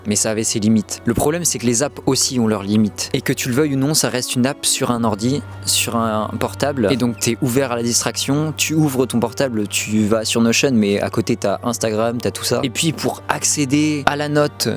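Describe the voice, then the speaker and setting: A young French man vlogging to camera, chatty and relaxed, stumbling over words now and then. His pitch sits in the middle range. Soft music plays faintly behind him. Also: normal speed, hardly any pauses. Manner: relaxed, chatty, many fillers and restarts. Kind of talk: casual vlog narration to camera.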